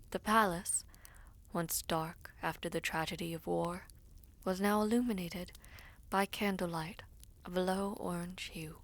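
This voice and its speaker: Woman doing a poised fantasy villainess, formal and devoted, slightly low voice, really slow and breathy, audio roleplay style. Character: formal, composed villainess, devoted adviser to a prince. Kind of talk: fantasy audio roleplay with first-person narration.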